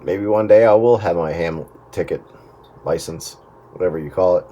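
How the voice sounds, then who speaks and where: A man's voice: low-pitched, normal speed, background noise. A middle-aged man chatting casually about himself in a conversational way. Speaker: radio hobbyist on a group call. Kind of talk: casual chat.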